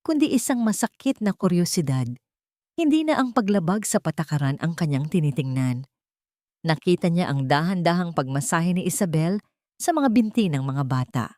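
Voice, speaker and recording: Low-voiced woman narrating drama. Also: darkish tone, dry recording, studio quality. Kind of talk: scripted dramatic audio story narration.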